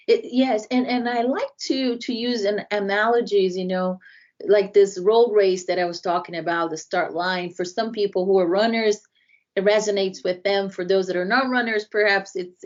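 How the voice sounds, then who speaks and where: A woman's voice: mid-range voice, normal speed, highs cut off. Brazilian-accented woman explaining earnestly. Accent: Brazilian Portuguese. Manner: earnest, explanatory, frequent restarts. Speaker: inclusion consultant, guest. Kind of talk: podcast interview.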